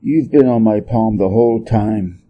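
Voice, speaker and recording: A man voicing a huge, serene Buddha in a storybook, speaking with calm, measured authority and gentle admonishment. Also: fairly low pitch, muffled recording, pitched below the performer's own voice, lightly acted. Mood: admonishing. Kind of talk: storybook character voice.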